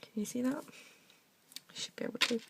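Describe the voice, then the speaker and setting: A young woman with a croaky voice from a cough, chatting through a henna craft demonstration at her desk at home. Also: normal speed. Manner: chatty, talks while drawing, drops to soft mutters. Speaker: craft tutorial maker.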